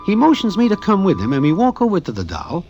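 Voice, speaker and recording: Male, nervous New gambler type, high voice, wildly swinging pitch, slangy storyteller in vintage radio drama. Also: wildly swooping pitch, fast, a little mellow, faint music behind, full character voice. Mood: wry storytelling. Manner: slangy, stilted formal street talk. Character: nervous wisecracking Broadway gambler narrating his own tale. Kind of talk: 1940s radio acting.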